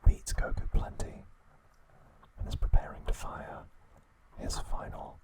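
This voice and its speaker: A man narrating scripted ASMR in a breathy, unvoiced whisper, with hushed, deliberate phrasing and pauses. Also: rough recording. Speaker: ASMR host.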